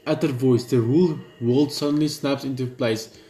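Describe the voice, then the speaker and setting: A man gaming, reading a horror visual novel's narration aloud in a steady read, dropping blunt side remarks. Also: medium pitch, moderate pace, roomy sound. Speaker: gamer.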